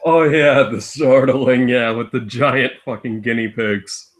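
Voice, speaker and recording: A man of average pitch joins as a guest commentator. His delivery is a little slow and informal. He chats in brief, offhand reactions. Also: steady tone. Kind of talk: casual chat.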